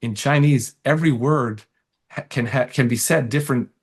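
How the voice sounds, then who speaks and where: A man with a medium-pitched voice talks casually as an interview guest. He tells anecdotes like a comedian, acting out bits of dialogue and repeating words as he goes. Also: moderate pace, breathy edge.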